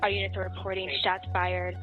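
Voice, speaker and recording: A woman's voice: mid-range voice, completely flat delivery, fairly quick. A woman dispatcher making an emergency phone call, hesitant, over a telephone. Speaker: Secret Service dispatcher. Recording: thin phone line with music over.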